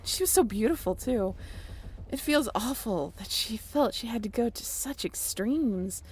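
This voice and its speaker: A woman playing a shy, flustered young former college roommate in a roleplay audio drama, speaking in a halting, bashful, conversational way. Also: a little high, expressive, a little mellow, a little hiss or hum. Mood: chatty, amused.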